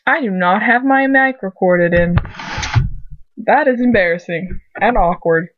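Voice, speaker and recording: A woman's voice: medium pitch, unhurried, dry recording. Woman reading casually on a dull lo-fi recording. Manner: stumbling over words, self-deprecating. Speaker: amateur fan fiction reader. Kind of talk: casual read-aloud with chatty asides.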